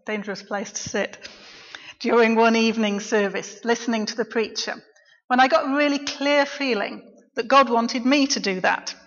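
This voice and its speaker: Soft-spoken woman in an echoey church. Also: a little high. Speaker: local preacher. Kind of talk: personal testimony in church. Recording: dull, echoey church recording.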